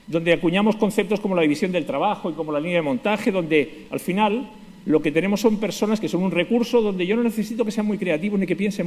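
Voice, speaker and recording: A middle-aged Spanish-accented man speaks quickly, with a fairly high voice, as he delivers a conference keynote. He is ironic and pokes fun at himself. His voice carries a long hall reverb. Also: a little mellow. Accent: Spanish from Spain. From Spain, Basque Country. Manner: ironic, self-deprecating humor.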